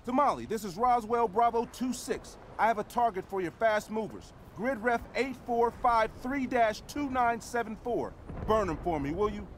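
A man's voice: high-pitched, animated, normal speed, slight background noise. A man playing an American army commander who makes urgent, commanding radio calls in a war game. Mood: grimly eager. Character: high-voiced American army commander calling in strikes.

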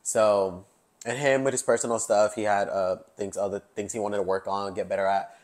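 A man in his early twenties talks loosely on a sofa as a podcast host, his voice sitting a little low. He rambles with fillers and quick laughs. A clip-on mic picks him up clearly in a living-room set. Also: steady tone, a little mellow. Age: 20s. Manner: chatty, laughs often, many fillers. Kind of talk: casual chat. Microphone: lapel mic.

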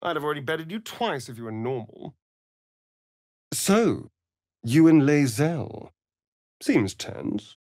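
A man's voice: on the high side, sing-song, slow pace, pauses often, a little mellow, no room sound, studio quality. A man with an English accent playing a sardonic, aristocratic vampire rogue in a video game, arch, drawling and haughty. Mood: teasing. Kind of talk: video game character dialogue.

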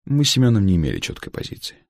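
A man reading a humorous literary story as an audiobook narrator, with a gravelly voice and wry, dry irony. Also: on the low side, huge pitch swings, rapid-fire, darkish tone, dry recording.

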